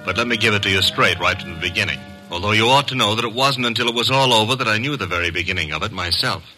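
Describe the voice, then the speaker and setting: Low, punchy wisecracking detective's sidekick. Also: male voice, fast, sharp tone, faint music behind, rough recording. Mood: wry narrating. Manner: breezy, slangy. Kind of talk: 1950s radio drama acting and narration. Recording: vintage radio broadcast.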